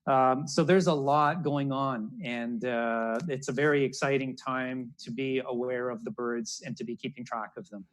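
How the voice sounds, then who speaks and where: A man's voice: mid-range voice, steady tone, moderate pace, few pauses, low-quality recording. A man, giving an educational talk for children as a naturalist over a video call. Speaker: naturalist, storyteller. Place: room at home, indoors. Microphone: wired earbuds on a video call.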